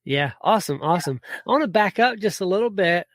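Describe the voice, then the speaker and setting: Upbeat podcast host, dry sound. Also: male voice, high voice, expressive, a little fast, fairly bright tone, no echo. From United States. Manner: upbeat, enthusiastic, repeats words. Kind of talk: casual podcast interview.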